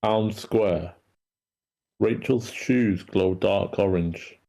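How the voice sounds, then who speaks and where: A man's voice: fairly low pitch, drawn-out words, gravelly, mellow. A man reading screenplay action lines aloud as a narrator at a live table read.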